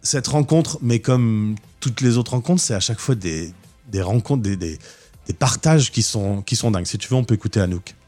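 A man's voice: lowish voice, lively intonation, moderate pace, warm tone, faint music behind. A man hosting a radio show, chatting casually in an interview with enthusiasm and frequent stammered restarts. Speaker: radio host. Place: radio studio.

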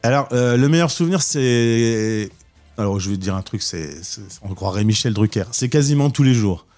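A man's voice: mid-range voice, expressive, moderate pace, a little mellow, soft background music. A man hosting a radio show, chatting casually in an interview with enthusiasm and frequent stammered restarts. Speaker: radio host. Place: radio studio.

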